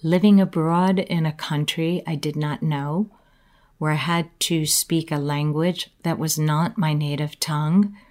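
A woman giving a slow, earnest inspirational talk about courage, reflecting on her own life. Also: deep voice, steady tone, a little mellow. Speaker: motivational speaker.

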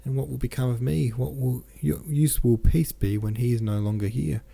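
A man reading the part of a grieving, anguished young princess in a novel, distraught and halting. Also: mid-range voice, steady tone, vocal fry, warm tone, breathy edge. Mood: anguished remorse. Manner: distraught, halting, repeating herself.

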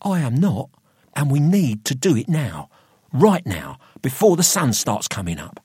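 A ruthless, domineering man, played by a male narrator, gives orders in an audiobook scene. The voice is raised in pitch and husky, with a sharp, hissed urgency. He is cold and contemptuous. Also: big pitch swings, unhurried, dry recording, studio quality, heavily acted. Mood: urgent, cold.

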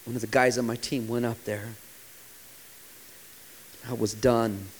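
A man in his forties, a pastor preaching a sermon with impassioned delivery that sometimes drops to a hushed, quiet tone. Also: medium pitch, little pitch movement, a little slow, slight background noise, low-quality recording. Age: 40s.

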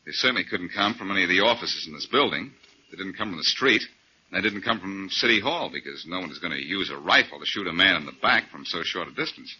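An actor playing a confident, quick-witted sleuth, assertive and explanatory, in 1940s radio style. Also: male voice, deep voice, expressive, sharp tone, full character voice. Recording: muffled, hissy 1940s radio recording.

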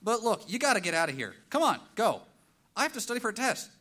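A woman playing an irritable, harried teenage boy gamer in a live comedy audio play, excitable and exasperated. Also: a little high, fast talker. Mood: apologetic.

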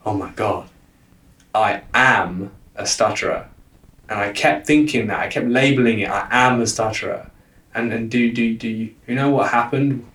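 A man's voice: on the low side, normal speed, fairly bright tone. Young man, stutter with lots of repeats, recorded off-mic in an echoey room. Guest coach telling stories. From lives in London. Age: young adult. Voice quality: stutter, frequent word repetitions. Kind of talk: casual interview talk. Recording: roomy, distant from the mic.

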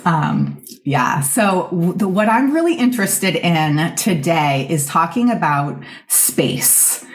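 A woman's voice: lowish voice, expressive, slow, husky. A woman hosting a podcast, reading an intro and then interviewing a guest in an earnest, encouraging way. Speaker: podcast host.

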